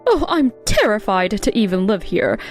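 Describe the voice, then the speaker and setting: A woman's voice: mid-range voice, big pitch swings, normal speed, soft background music, close to an everyday voice. A woman playing a frightened, fed-up female tenant in a manga dub, worried and complaining. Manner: worried, complaining, folksy wording. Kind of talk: scripted manga dub acting.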